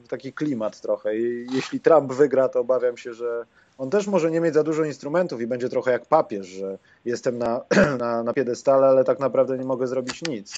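A man's voice: mid-range voice, a little mellow, a little hiss or hum. A man hosting a podcast in casual chat, dropping sardonic, joking asides. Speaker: podcast host. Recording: dull, dry lo-fi sound.

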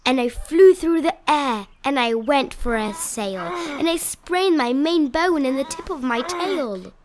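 A young woman reading rhyming children's picture books aloud in an expressive, playful storytelling style. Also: high-pitched, unhurried, background noise. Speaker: children's picture book reader.

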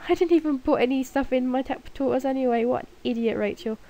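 A woman's voice: sits high, fast, warm tone. Bunged-up young woman rambling over gameplay. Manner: rambling, self-mocking, giggly. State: blocked nose from a cold. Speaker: gaming video creator. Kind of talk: casual gameplay commentary. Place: room at home, alone at night.

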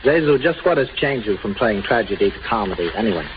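Man, 1930s radio interviewer, scripted banter, muffled, clearly noisy recording. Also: lowish voice, expressive, quick pace.

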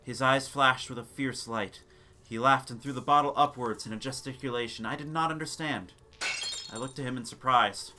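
A man reading a gothic tale as a theatrical, deliberate dramatic narration. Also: medium pitch, bright, forceful tone. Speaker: narrator of a gothic short story. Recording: noisy ambience sound bed. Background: background ambience and sound effects.

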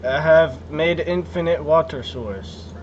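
A man playing a video game with a friend, talking casually and slowly. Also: on the high side. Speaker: gamer. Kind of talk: casual gaming chat. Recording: muffled with faint noise.

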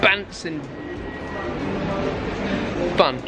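A man in his twenties with a British accent, chatting casually to camera as a travel vlogger in a jokey, slangy, high-energy way. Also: extremely slow. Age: 20s. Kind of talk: casual vlog chat to camera. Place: busy airport halls and city streets. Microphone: camera held at arm's length. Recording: noisy airport and city street ambience.

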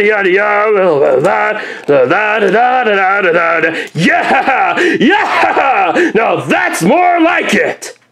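A performer voicing a gloating monster turtle king villain in a cartoon, boastful and drawn-out in delivery. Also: mid-range voice, vocal fry, a bit bright, heavily acted.

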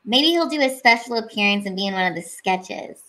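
A woman's voice: mid-range voice, lively intonation, a little fast, pushed and bright, poor audio. A woman in her thirties from Hawaii and Washington State, chatting as an upbeat guest over a video call. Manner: upbeat, enthusiastic. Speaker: podcast guest. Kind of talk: casual video-call chat.

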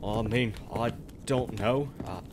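A man playing a soft-hearted, deferential pirate crewman in an audio roleplay. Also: lowish voice, takes it slowly, background noise. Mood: nervous pleading. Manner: stammering, pleading before his captain. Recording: loud rain and ship ambience.

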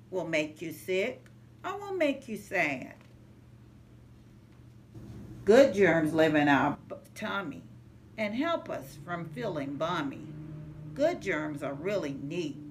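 Lively, slow-paced woman reading to children. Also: lowish voice. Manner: sing-song, measured rhyming delivery. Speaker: early childhood center manager reading to children. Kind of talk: animated children's picture-book read-aloud. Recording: noticeable background noise, home recording.

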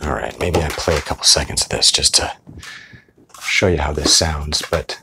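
A man's voice: a bass voice, moderate pace, gravelly, noisy background. A middle-aged American man talking informally and conversationally in a casual show-and-tell vlog. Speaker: video host.

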